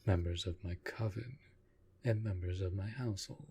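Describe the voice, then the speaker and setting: A man playing a courtly, protective vampire lord in a roleplay audio drama, speaking softly and formally in measured, deliberate phrases. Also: low voice, vocal fry, slightly rough, full character voice. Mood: solemn, reassuring. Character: courtly, protective male vampire master of a manor.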